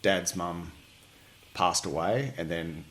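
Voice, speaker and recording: A man's voice: deep voice, slow, a bit bright. A man in his forties from New Zealand with a light New Zealand accent, telling stories in a wry, self-deprecating way at his home. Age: 40s. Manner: wry, self-deprecating, trails off and restarts mid-sentence. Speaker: podcast guest, army veteran. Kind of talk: casual storytelling interview. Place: living room at his home.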